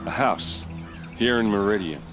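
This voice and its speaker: Deep-voiced guard captain played by a man. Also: measured pace, over music, natural voice. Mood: confused, reluctant. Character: gruff male guard captain, grieving, loyal and bewildered. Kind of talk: video game voice acting.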